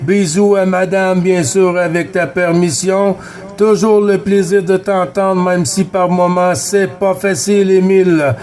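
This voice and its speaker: A man's voice: high voice, flat delivery, measured pace, darkish tone. A man with a Quebec French accent working a CB radio contact round, courteous, repeating words and callsigns. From Quebec, Canada. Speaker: amateur CB radio operator running a contact round.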